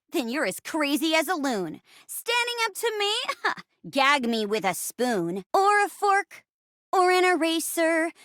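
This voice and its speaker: Woman playing a snotty, sneering high-school queen bee. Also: fairly bright tone, no echo, studio quality, heavily acted. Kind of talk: mock high-school musical villain in a video game.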